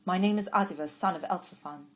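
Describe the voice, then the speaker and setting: A woman voicing an impetuous, lovestruck young man who talks loudly and urgently. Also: lowish voice, quick pace, lightly acted. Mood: eager. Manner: loud, urgent calling.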